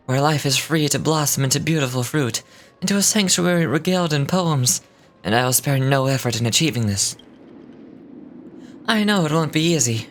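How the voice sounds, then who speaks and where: A woman's voice: low-pitched, voice pushed down. A woman reading game dialogue aloud as a courteous, romantic male dreamweaver, formal and poetic in delivery.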